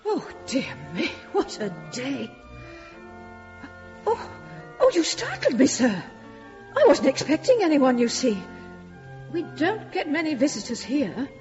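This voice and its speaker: An actress playing a fussy, deferential, pious church housekeeper in a period radio drama, polite and easily flustered. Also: female voice, high-pitched, wildly swooping pitch, slow, long pauses, a little mellow, soft background music, heavily acted. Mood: startled, apologetic. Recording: reverberant church, slightly dull sound.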